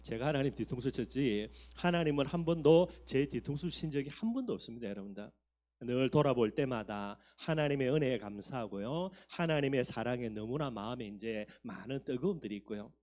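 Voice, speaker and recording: A man's voice: medium pitch, expressive, brisk, darkish tone. Man in his fifties preaching earnestly. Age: 50s. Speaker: pastor. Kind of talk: sermon.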